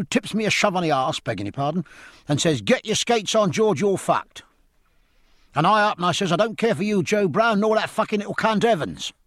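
A man voicing a furious, indignant male sailor who shouts his denials in a high, strained voice. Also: creaky, husky, no echo, clean studio sound, full character voice. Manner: shrill outraged shouting.

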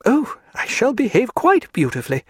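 A man voicing a teasing high-spirited lady. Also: huge pitch swings, normal speed, husky, no echo, clean studio sound, exaggerated voice. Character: lively teasing young English lady. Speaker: audiobook narrator.

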